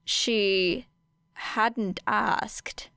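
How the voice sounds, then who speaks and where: A woman narrating scripted fiction as an audiobook reader, with measured, deliberate phrasing. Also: mid-range voice, steady tone, gravelly, sharp tone, smooth, no echo.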